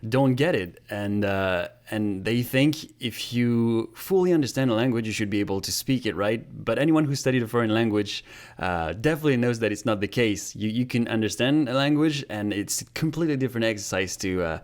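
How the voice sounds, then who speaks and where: A man from France, a podcast guest giving thoughtful, measured explanations. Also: mid-range voice. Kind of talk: podcast interview.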